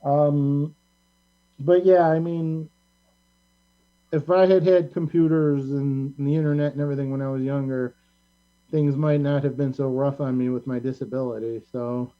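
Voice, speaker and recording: A talk show host in a casual group chat, speaking in a halting, rambling way at a middling pitch. The audio is dull and lo-fi, as from a video call. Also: little pitch movement.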